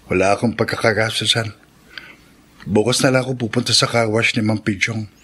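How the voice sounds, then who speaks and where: An actor playing a dejected, hurt teenage younger brother in a radio drama, downcast and aggrieved. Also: male voice, fairly low pitch, fairly even intonation, a little fast, pushed and bright, slightly rough.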